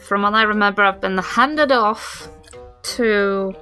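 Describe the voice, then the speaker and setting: A woman's voice: mid-range voice, slow, sharp tone, soft background music. A woman with a New Zealand accent talking casually as she improvises her part as a tabletop game player.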